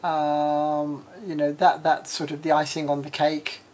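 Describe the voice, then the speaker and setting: English lady in her 40s, bit australian now, deep-ish voice, chatty vlog, lots of uhs. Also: monotone, a little slow, a little mellow. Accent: English with a slight Australian tinge. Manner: rambling, many ums and uhs; chatty and frank. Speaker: vlogger. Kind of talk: casual personal vlog.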